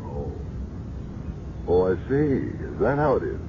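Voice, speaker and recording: A man's voice: lowish voice, very animated, measured pace, highs cut off. An actor playing a wary, rattled man with a hesitant, stammering manner in a 1970s radio drama. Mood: startled, wary. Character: wary, rattled man receiving a blackmail call. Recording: thin, noisy old radio recording.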